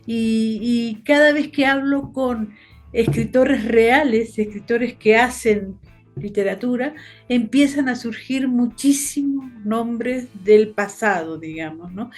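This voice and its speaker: Argentine lady interviewer, higher voice, chatty with lots of este and digamos, faint guitar music. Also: darkish tone. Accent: Argentine Spanish. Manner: conversational, fillers like "este" and "digamos". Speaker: interviewer and host. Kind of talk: casual conversation.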